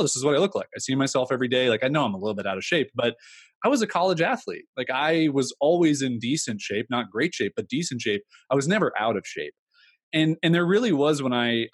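A man's voice: mid-range voice, breathy edge, no room sound. A man in his thirties, an entrepreneur talking candidly in an interview, rambling with many fillers. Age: 30s. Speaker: entrepreneur guest. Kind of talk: casual interview talk.

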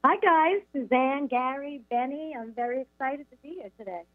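A woman's voice: high voice, normal speed, no room sound. A middle-aged woman with a New York accent, a radio guest chattily telling her life story with frequent ums. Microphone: phone call. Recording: tinny phone line.

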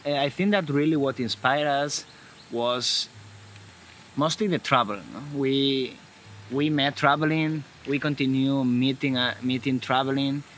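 A man from Galicia in Spain with a Spanish accent, talking in a casual conversation in halting English and often restarting his sentences. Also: medium pitch, a bit bright, slight background noise. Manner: halting, searching for words, frequent restarts.

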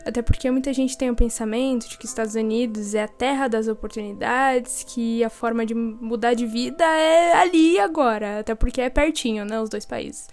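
A woman's voice: fairly high pitch, a little mellow. A young woman co-hosting a true-crime podcast, chatting casually and joking between facts. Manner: chatty, jokey, quick asides and laughs. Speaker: podcast co-host. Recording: faint music behind.

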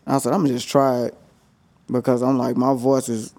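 A man's voice: mid-range voice, flat delivery, fairly quick, mellow. A man co-hosting a casual talk show, telling playful stories and acting out what he said. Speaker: co-host. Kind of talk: casual chat.

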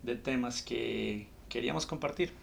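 A man's voice: medium pitch, moderate pace, vocal fry. A man in his early thirties from Colombia, with a Colombian accent, talking casually as a podcast co-host.

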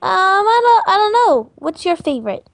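High-voiced woman making a prank call. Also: very animated, moderate pace, vocal fry, dry recording. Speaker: prank caller. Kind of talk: casual prank phone call.

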